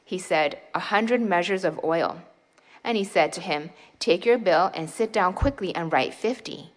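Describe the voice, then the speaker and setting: Woman with a lowish voice who reads scripture aloud in church, steady and formal. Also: rough recording. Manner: measured and steady. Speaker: scripture reader. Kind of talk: formal Bible reading.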